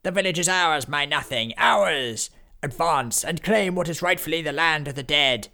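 A man voicing a boastful male necromancer who turns out to be a cowardly fraud, booming threats and then begging shrilly. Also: normal speed, pushed and bright. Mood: commanding.

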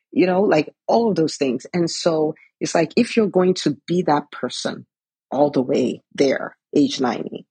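A woman's voice: sits low, gravelly, darkish tone, slightly rough, no room sound. A woman in her forties giving an earnest motivational talk, full of "right?" and "like". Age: 40s. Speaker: coach for physicians in business.

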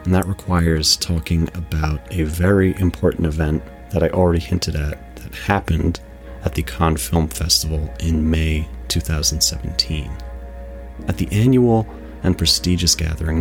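A man with a remarkably low voice hosts a podcast. He reads articulate, formal film commentary over faint music. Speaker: podcast host.

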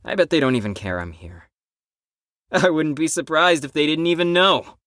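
A man's voice: on the high side, very animated, normal speed, a bit bright, no echo, clean studio sound. A man playing a brash, sarcastic, cocky and bitter teenager in an audio drama.

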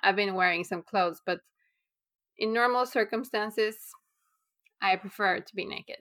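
A woman's voice: mid-range voice, measured pace, pushed and bright. Teasing, blunt woman podcast host. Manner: blunt, teasing, matter-of-fact. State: pregnant. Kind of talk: casual chat.

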